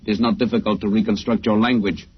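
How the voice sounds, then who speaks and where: A man's voice: lowish voice, steady tone, fast, natural voice. A man acting a cold, literal-minded alien conqueror in an old-time radio drama, speaking in stilted, formal, emotionless phrases. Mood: cold, matter-of-fact. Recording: dull, muffled old radio recording.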